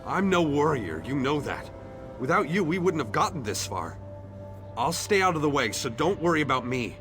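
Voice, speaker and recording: A man's voice: fairly high pitch, very animated, moderate pace. Man voicing an earnest, hopeful townsman over music. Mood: reassuring. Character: hopeful, grateful young townsman defying fate and rallying his people. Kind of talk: scripted video game dialogue. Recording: background music under the voice.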